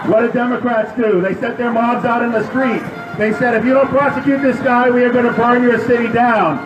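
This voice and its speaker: A man delivering a loud protest speech at an outdoor rally, firing questions at the crowd. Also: extremely high pitch, fairly even intonation, brisk, music in the background. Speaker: rally speaker. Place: outdoor city square. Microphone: public address system heard from a distance. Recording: echoey outdoor PA from far off.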